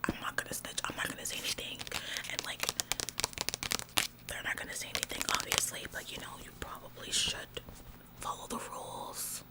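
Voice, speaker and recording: Female performer as self-important female store clerk, ASMR roleplay. Whispered, smug, nagging, halting and repetitive. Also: natural voice, rough recording. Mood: pushy, smug. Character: bossy, officious female store employee, smug tattletale.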